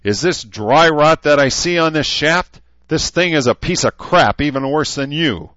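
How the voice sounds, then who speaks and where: A man voicing a gruff, hot-tempered veteran drill sergeant who growls, barks and swears. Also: low-pitched, animated, a little fast, a bit bright, dry recording, lo-fi sound. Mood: furious shouting. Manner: barking, growling, profane.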